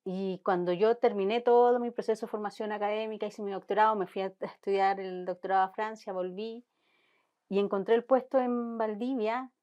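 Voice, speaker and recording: A woman's voice: medium pitch, fairly even intonation, fairly quick, a little mellow, lo-fi sound. A Chilean woman marine biologist, speaking thoughtfully in an interview and often restarting as she searches for words. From Chilean Patagonia. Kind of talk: conversational interview.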